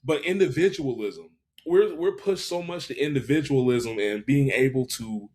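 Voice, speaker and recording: A man's voice: mid-range voice, moderate pace, darkish tone. Hesitant, thoughtful man in his twenties. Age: 20s. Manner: hesitant, thoughtful, frequent restarts and fillers. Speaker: podcast guest. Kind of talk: casual reflective interview talk.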